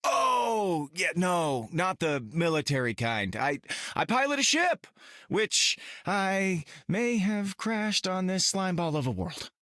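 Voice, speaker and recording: Cocky space pilot, boyish, bright edge, unhurried, stammering, casual, video game hero. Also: male voice, high voice, very animated, no room sound, studio quality, exaggerated voice. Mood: chatty, breezy. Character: cocky, goofy young male space-pilot adventurer.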